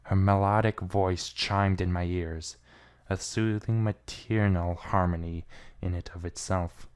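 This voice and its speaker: A man's voice: deep voice, fairly even intonation, measured pace, smooth. A man giving a brooding, theatrical first-person reading of a fan fiction story. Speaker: amateur fan fiction reader.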